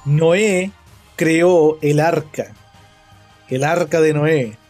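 A man's voice: on the high side, very slow, darkish tone, faint music behind. A young man with a Chilean Spanish accent, chatting casually and joking as he reads chat messages during a game stream.

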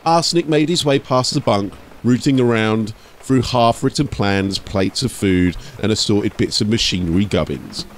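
A man with a lowish voice delivers scripted narration in the role of an audiobook storyteller. His reading is that of a channel host presenting a tale. There is slight noise behind his voice. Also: normal speed, few pauses.

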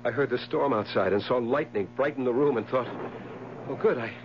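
A man plays a nerve-racked, obsessive husband in a seventies radio play. His delivery is halting and melodramatic, repeating itself as if haunted. The recording is muffled with some hiss. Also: medium pitch, natural voice. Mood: shaken, dread. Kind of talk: 1970s radio drama acting. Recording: muffled, hissy 1970s radio broadcast recording.

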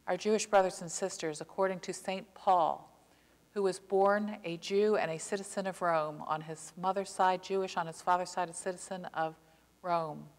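An older woman pastor delivering an earnest, impassioned sermon from a pulpit, slowing down to stress key words. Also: fairly low pitch, fairly even intonation. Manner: earnest, impassioned preaching with slow emphatic stresses. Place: church sanctuary, behind a pulpit. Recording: long church reverb.

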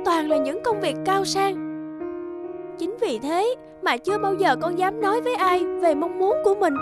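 A woman voicing an anxious, earnest teenage girl in a cartoon, soft, hesitant and polite, with a Northern Vietnamese accent. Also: extremely high pitch, moderate pace. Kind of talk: animated cartoon dialogue. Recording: music behind the dialogue.

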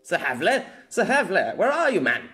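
A confident young lady knight from an audio fantasy story, acted out by a male narrator. The voice is lifted high and lilting, soft yet commanding, with playful, grandly old-fashioned phrasing over music. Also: wildly swooping pitch, moderate pace, full character voice. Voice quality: soft-spoken. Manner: commanding, archaic flourishes.

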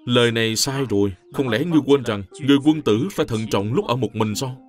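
An actor playing an upright, kindly young scholar in an animated drama, speaking earnestly. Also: male voice, mid-range voice, fast, faint music behind, natural voice. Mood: firm. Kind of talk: animated drama dub acting.

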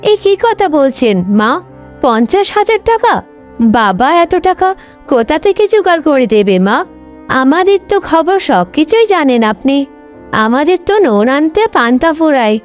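A woman voicing a proud young bride, the elder sister in a cartoon, with a dramatic, expressive delivery. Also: sits high, normal speed, soft background music. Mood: anxious, pleading. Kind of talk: Bengali cartoon voice acting.

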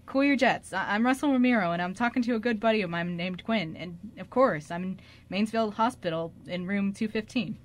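A woman's voice: mid-range voice, a little fast, lightly acted. A woman playing an earnest, flustered young man in an amateur radio drama, with a hesitant, stammering delivery. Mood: breezy.

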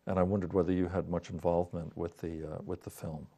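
A man in his sixties with a creaky, gravelly voice and a dark, mellow tone. He asks literary interview questions thoughtfully and a little hesitantly in a studio. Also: low voice, little pitch movement, fast talker. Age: 60s. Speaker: interviewer, writer and musician. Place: quiet TV studio set with bookshelves. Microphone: lapel mic.

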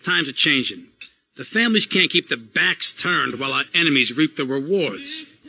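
An actor playing a menacing, scheming middle-aged mob boss in a video game, assertive and fond of tagging orders with a questioning check. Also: male voice, on the high side, big pitch swings, normal speed, bright, forceful tone, heavily acted. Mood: scheming, commanding. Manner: assertive, punctuates orders with "okay?" and "right?". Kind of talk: video game cutscene acting.

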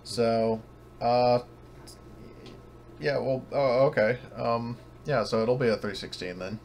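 A man's voice: medium pitch, fairly even intonation, slow pace, creaky, a little mellow. A man improvising as a tabletop game master, casual and hesitant, thinking aloud as he goes. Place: online voice call. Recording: noisy voice-chat recording.

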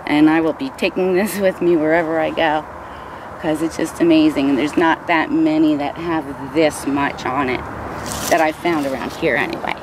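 A woman's voice: low voice, normal speed. A middle-aged woman showing off the hut she built in the woods, chatting casually with lots of ums and likes. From New Jersey. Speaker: bushcraft builder giving a tour. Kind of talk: casual show-and-tell. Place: outdoors in woods, inside and beside a stick hut. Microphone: camera held by another person. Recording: outdoor recording with steady background noise.

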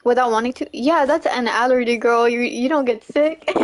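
Young female streamer, slightly high voice, casual, chatty, quick to laugh. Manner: chatty, laughs often, repeats "you know what I'm saying". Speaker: livestreamer. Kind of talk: casual chat while gaming, reading chat.

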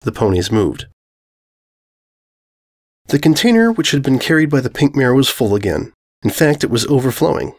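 A man narrates a scripted story dramatically. His pitch is middling and moves about in a lively way. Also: normal speed, pauses often. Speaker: fan fiction narrator.

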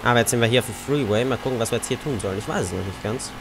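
A man's voice: medium pitch, racing, gravelly. A young man with an Austrian German accent giving casual, chatty commentary over his gameplay and cursing at other drivers. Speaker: let's play gamer. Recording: game car noise under voice.

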